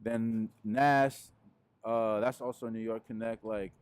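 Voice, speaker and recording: A man's voice: lowish voice, fairly even intonation, measured pace, clear, resonant tone, dry recording. Laid-back young man with handheld mic. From Peru. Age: young adult. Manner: laid-back, rambling, frequent fillers. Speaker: musician guest. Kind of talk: casual interview answers. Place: indoors in front of a wooden wall.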